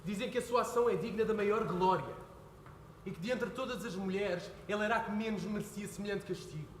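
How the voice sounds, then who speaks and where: A young man playing an earnest son who pleads with his father, building to heated shouting. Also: high-pitched, brisk, a little hiss or hum, natural voice. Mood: pleading. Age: young adult. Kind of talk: stage play performance. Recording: echoey hall with faint ambience.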